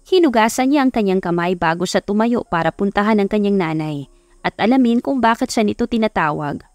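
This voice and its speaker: A woman's voice: medium pitch, expressive, brisk, darkish tone, no echo. A woman with a Filipino accent narrating a scripted romance story as an audiobook. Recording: music behind the voice. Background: background music.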